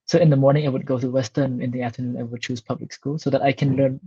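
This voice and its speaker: A man in his twenties from Cambodia, a Khmer speaker, answering interview questions in a steady, articulate way. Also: medium pitch, fast talker, slightly rough, dry recording, lo-fi sound. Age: 20s. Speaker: guest, student.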